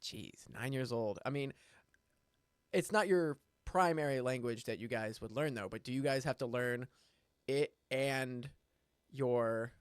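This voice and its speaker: A man hosts a casual interview in an upbeat manner and laughs often. His voice sits at an ordinary middle pitch. The recording is dry and close. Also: a little slow, clear, resonant tone, no echo. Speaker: host.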